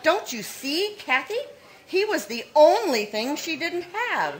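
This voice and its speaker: An actress playing a cold, scheming older sister in a live old-time radio drama, speaking with cutting sarcasm. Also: female voice, high voice, big pitch swings. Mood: coaxing. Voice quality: cutting, sarcastic, slow and deliberate.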